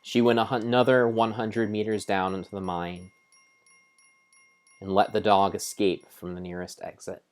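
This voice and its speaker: A man giving an improvised dramatic narration of a game like an adventure storybook, with deliberate, drawn-out phrasing. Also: lowish voice, little pitch movement.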